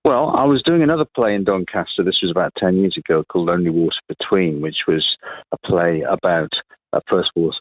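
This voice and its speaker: A man with a fairly low voice speaks as a guest in a radio interview. The sound is narrow and phone-like, with little room around it. He is thoughtful and halting, often reworking a sentence midway. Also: dry recording. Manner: reflective, halting, often rephrasing mid-sentence.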